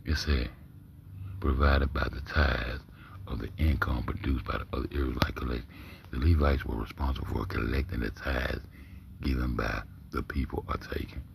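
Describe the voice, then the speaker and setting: Deep gravelly man, low and rough. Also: fairly even intonation, normal speed, a little hiss or hum. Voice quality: big deep voice. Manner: talks low, often drops to a near-whisper. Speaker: livestream host. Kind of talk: profane casual commentary while reading text aloud.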